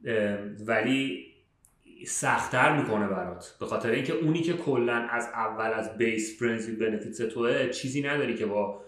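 A man's voice: mid-range voice, a bit bright, roomy sound. A man with an Iranian Persian accent and English loanwords, co-hosting a casual podcast, thinking aloud and rephrasing as he gives opinions. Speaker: podcast co-host. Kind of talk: casual chat, giving opinions.

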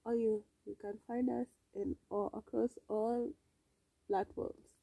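Very mellow, creaky Kenyan woman. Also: a little high, steady tone, takes it slowly, no room sound, poor audio. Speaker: podcast co-host. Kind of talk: casual bantering chat, mixing Swahili and English.

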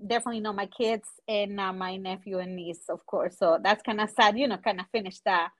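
Chilean lady in her 40s, english not her first language, lots of uh and um, talking slow and open. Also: mid-range voice, steady tone, fairly bright tone. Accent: Chilean Spanish. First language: Spanish. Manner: halting, searching for words, frequent fillers. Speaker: guest entrepreneur. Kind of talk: casual interview.

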